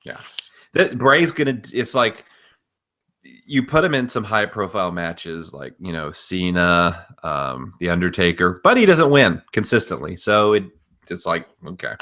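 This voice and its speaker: A man's voice: sits low, lively intonation, a little slow, sharp tone. A man co-hosting a casual wrestling news podcast, blunt, opinionated and freely profane. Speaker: podcast co-host.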